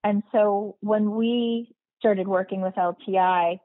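Woman podcast host, mid-pitched voice, fluent and explanatory, phone-like call sound, dry. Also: steady tone, slow pace, dry recording. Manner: fluent, explanatory, framing questions. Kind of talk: interview questions. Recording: tinny phone-like line, dry.

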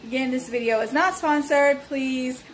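A woman's voice: high-pitched, normal speed, slight background noise. A woman, casually reviewing a cleaning product in her home kitchen, talking chattily with frequent ums into a phone held at arm's length. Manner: chatty and friendly, many ums. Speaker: home vlogger.

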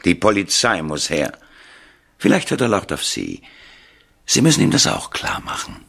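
An actor playing an eccentric, mocking professor of the occult in a 1970s radio play, speaking theatrically in clipped phrases with dramatic pauses. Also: male voice, deep voice, huge pitch swings, vocal fry, fairly bright tone, husky, full character voice. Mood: emphatic, mocking. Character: eccentric American parapsychology professor, ominous and mocking.

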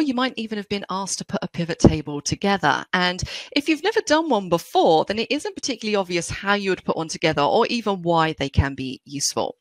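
A woman's voice: on the low side, no echo. A woman with a London accent, an IT trainer talking through a webinar lesson in a chatty, explanatory way. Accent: London English. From England. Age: middle-aged. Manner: chatty, explanatory, animated delivery. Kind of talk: webinar software tutorial.

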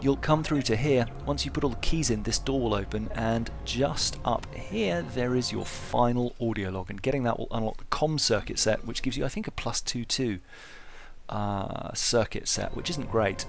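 A man's voice: mid-range voice, normal speed, gravelly, faint music behind. A man giving an offhand, chatty game walkthrough voice-over, with self-deprecating asides. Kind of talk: casual walkthrough commentary.

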